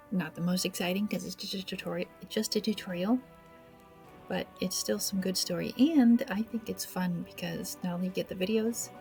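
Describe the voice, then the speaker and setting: A woman's voice: mid-range voice, gravelly, a little mellow. A woman hosting a gaming video with casual, awkward, self-deprecating commentary, speaking hesitantly. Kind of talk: casual let's play commentary.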